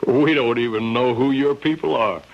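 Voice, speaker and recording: An actor playing a stubborn but decent farmer in a radio drama, blunt and folksy, with a gravelly, husky voice. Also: male voice, medium pitch, normal speed, natural voice. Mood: wary, plain. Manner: blunt, folksy, plain speech. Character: plain-spoken, stubborn, decent Connecticut farmer man. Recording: dull lo-fi old radio recording.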